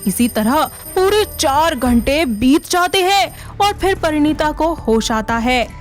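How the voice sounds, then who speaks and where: A woman reading a scripted story narration for an animated moral tale. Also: sits high, takes it slowly. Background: background music.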